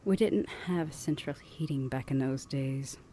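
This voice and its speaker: A centuries-old vampire elder sister played by a woman talks in a very low, dark, velvety voice. She is haughty, stern and formal, an imperious protector in an ASMR audio roleplay. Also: unhurried, a little hiss or hum. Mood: indignant, dry. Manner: formal, imperious, easily flustered.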